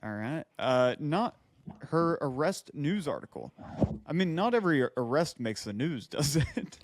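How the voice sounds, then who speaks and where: A man's voice: on the high side, sing-song, unhurried. A man co-hosting a casual comedy podcast, bantering and reading aloud, breaking off into quips and asides. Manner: interrupts his own reading with quips and asides. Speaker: podcast co-host.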